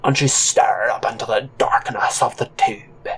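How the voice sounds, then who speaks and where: A man's voice: mid-range voice, fairly even intonation, unhurried, a bit bright, slight background noise. A man telling a comic first-person story in an audio drama, with a hesitant, theatrical delivery. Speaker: narrator and counter worker character.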